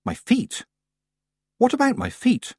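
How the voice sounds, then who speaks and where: Man as an earnest young witch girl, high-pitched. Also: wildly swooping pitch, fairly quick, husky, no room sound, clean studio sound, full character voice. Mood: bewildered, frustrated.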